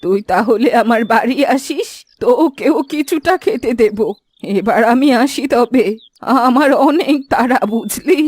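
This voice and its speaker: A woman playing a brisk, bossy old village granny in a fairy-tale cartoon. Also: on the high side, expressive, a little mellow, husky, dry recording. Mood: chatty. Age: older. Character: bossy, busy old village granny who cooks hilsa.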